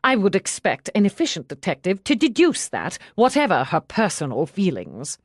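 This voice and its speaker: A woman's voice: on the low side, wildly swooping pitch, normal speed, dry recording, clean studio sound. A woman voicing a proud, stiff male household servant with a formal, restrained manner, in an audiobook. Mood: proud, guarded.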